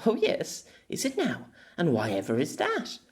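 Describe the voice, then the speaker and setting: A man's voice: fairly high pitch, huge pitch swings, unhurried, pitched above the performer's own voice. A man voicing a curious, teasing young heroine from another world with haughty, old-fashioned phrasing. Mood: teasing, playful. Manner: grandiose archaic phrasing, playful.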